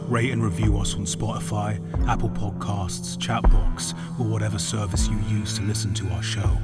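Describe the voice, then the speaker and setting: Male outro announcer, fairly deep, steady scripted read, strong musical bed behind him. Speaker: credits announcer for a podcast. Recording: loud music under the voice. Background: prominent musical score.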